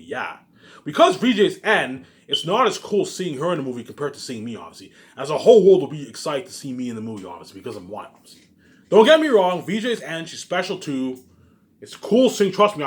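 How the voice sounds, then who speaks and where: Guy talking really quick in a casual vlog ramble, cocky, repeats his catchphrases, swears a lot. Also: mid-range voice. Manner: boastful, repetitive, with frequent catchphrases; emphatic, swearing freely. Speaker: online video creator.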